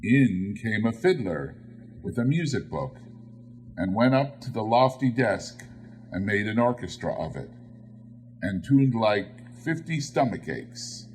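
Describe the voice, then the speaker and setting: A man narrating a literary story as an audiobook reader, in a theatrical, expressive style. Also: lowish voice, unhurried, soft background music. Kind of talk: dramatic literary story reading.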